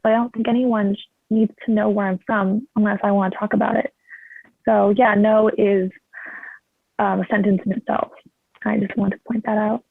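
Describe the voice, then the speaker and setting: Thin, telephone-like sound carries a woman's voice at a medium pitch, dry and without room echo. She talks casually and candidly about herself, like a participant in an online meetup. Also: creaky. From Canada. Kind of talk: personal sharing in casual talk. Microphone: video call. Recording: muffled phone-like call audio.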